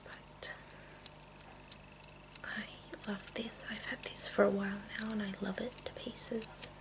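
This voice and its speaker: A woman hosting an ASMR video in a breathy whisper, chatting casually and playfully as she shows off her things. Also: mid-range voice, flat delivery, slow, rough recording. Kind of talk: whispered ASMR, casual show-and-tell of makeup tools.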